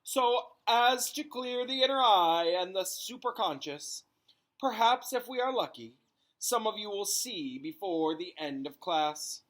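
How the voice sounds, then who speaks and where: Casting: male reader as misty, dramatic female seer and teacher, raised pitch, stretched airy phrasing, storybook character. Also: animated, unhurried, fairly bright tone, poor audio. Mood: dreamy. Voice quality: misty, breathy delivery. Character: misty, dramatic woman fortune-telling teacher, theatrical and dreamy.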